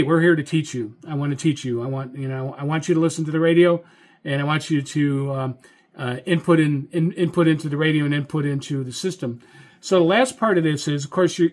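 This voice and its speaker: An American man, a radio hobbyist host, giving informal tips in a halting, rambling, explanatory way. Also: on the high side. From United States. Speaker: amateur radio operator and host. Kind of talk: informal instructional talk.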